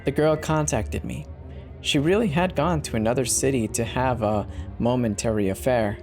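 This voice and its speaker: A man's voice: mid-range voice, moderate pace, a little mellow. A man narrating a horror story as a dramatic scripted reading. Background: background music.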